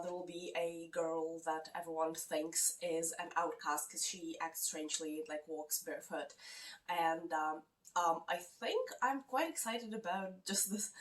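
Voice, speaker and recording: A woman with a slightly low voice and a non-native English accent talks through her reading plans. She hesitates a lot and fills gaps with ums and uhs. She sits at some distance from the mic. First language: not English. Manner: hesitant, many fillers. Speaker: book vlogger. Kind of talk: casual chat. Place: lives in Canada.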